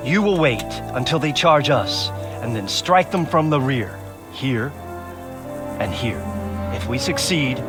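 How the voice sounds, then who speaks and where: An actor playing a stern, authoritative nobleman leading men in war, in a measured, firm way for a video game. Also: medium pitch, lightly acted. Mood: resolute. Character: stern, commanding medieval nobleman and wounded war leader. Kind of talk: dramatic video game cutscene acting. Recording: music behind the voice.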